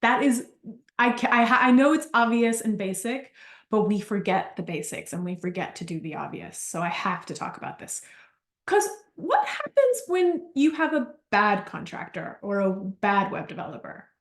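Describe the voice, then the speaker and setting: Animated chatty woman in an echoey room. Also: mid-range voice, normal speed. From Argentina. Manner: animated, chatty, storytelling with asides. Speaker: business coach. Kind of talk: live workshop talk.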